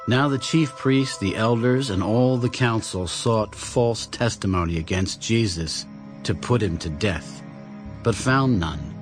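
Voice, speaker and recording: Male scripture narrator, low-pitched, scripted dramatic reading, prominent music bed. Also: measured pace.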